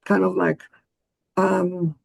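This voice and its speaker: A woman whose first language is Hebrew, speaking slowly and deliberately as a panelist in a discussion. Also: fairly low pitch, flat delivery, gravelly, dry recording. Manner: slow, deliberate, earnest.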